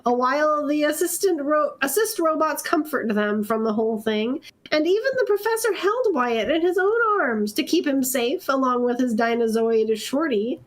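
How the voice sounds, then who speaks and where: High-voiced woman narrating fan fiction. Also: animated, moderate pace, few pauses. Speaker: narrator in a comedy reading group. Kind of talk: reading fan fiction aloud for laughs.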